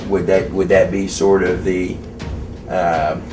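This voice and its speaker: Low male interviewer in an echoey room. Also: little pitch movement, slow pace, music in the background. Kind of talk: conversational interview questioning. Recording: echoey room, distant microphone.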